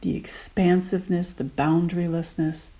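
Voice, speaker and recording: A woman guiding a meditation gently and unhurriedly in short spaced phrases, with soft, breathy endings. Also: fairly low pitch, level tone, normal speed. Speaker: meditation guide. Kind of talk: guided meditation.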